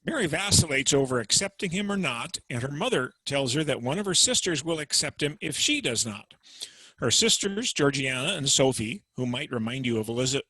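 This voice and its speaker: A man introducing and narrating a dramatic reading over a video call, in a measured, explanatory way. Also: medium pitch, sharp tone, husky, no echo, low-quality recording.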